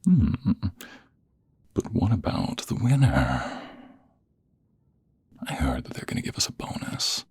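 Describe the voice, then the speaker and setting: A man acting out a smug, flirtatious office rival for an ASMR romance. His voice is extremely deep and very husky, often sinking into a breathy half-whisper. He takes his time, teasing and seducing with dramatic pauses. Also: very animated, darkish tone, no echo, full character voice. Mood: competitive, playful. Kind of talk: ASMR romantic roleplay, close and intimate.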